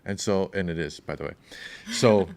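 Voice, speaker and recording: A man's voice: sits low, moderate pace, gravelly, a little mellow. A man co-hosting a podcast, chatting casually and telling long, rambling stories that sometimes lose their thread. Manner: rambling, storytelling, loses his thread. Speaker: podcast co-host.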